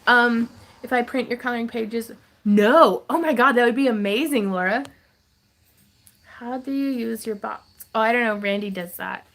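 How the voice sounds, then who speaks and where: A woman's voice: on the high side, moderate pace, fairly bright tone. A woman hosting a craft livestream Q&A, chatty and giggly, with lots of fillers, from her home craft room. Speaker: craft channel host. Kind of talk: livestream Q&A chat.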